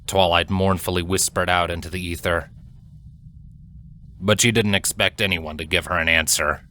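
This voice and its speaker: A man reading a scripted dramatic story as narrator, solemn, deliberate and expressive. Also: low voice, slight background noise. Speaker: audiobook-style fan fiction narrator.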